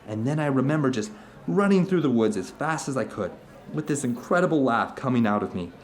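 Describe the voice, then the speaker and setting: A man's voice: medium pitch, expressive, fairly quick, lightly acted. An actor playing an earnest, fervent middle-aged man who tells rambling stories of strange experiences in an audio drama. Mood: excited. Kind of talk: audio drama dinner-table monologue.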